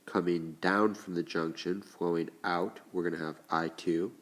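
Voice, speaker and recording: Very deep-voiced congested male teacher. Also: fairly even intonation, fairly bright tone. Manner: slow, deliberate explaining while writing. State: congested, stuffed up. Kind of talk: recorded classroom lesson.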